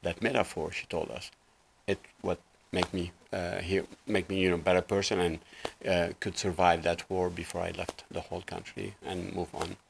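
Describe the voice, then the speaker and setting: A man in his sixties from Lebanon speaks in a very low voice with a gravelly edge. He tells his story earnestly and loosely, leaning on fillers, as a guest on a small TV studio set. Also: level tone, moderate pace, noisy background. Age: 60s. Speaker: guest life coach. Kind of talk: casual interview talk.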